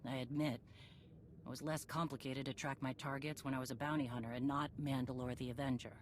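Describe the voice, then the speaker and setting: A woman's voice: extremely low pitch, steady tone, brisk. An actress playing a stern, determined female warrior leader and former bounty hunter in a game cutscene, speaking in terse commands. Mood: grave, resolute. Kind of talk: scripted game cutscene acting. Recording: clear background noise and ambience.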